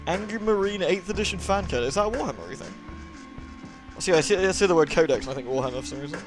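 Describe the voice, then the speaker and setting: A man's voice: sits high, expressive, fast. A British man hosting a livestream, chatting informally and in a friendly way as he greets and answers his viewers. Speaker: live streamer, host. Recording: music playing behind the voice. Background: moody music.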